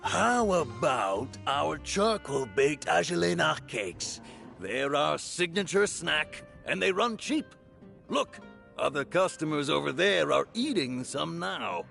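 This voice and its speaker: Game bit part: hearty male innkeeper, very gravelly, forceful, animated, eager to serve. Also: on the high side, takes it slowly, soft background music, exaggerated voice. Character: hearty, jovial male tavern keeper, eager to please. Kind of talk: video game voice acting.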